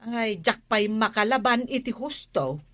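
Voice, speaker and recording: An actress with an Ilocano accent playing a bossy, complaining village wife in a radio comedy drama. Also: female voice, a little high, lively intonation, measured pace, fairly bright tone.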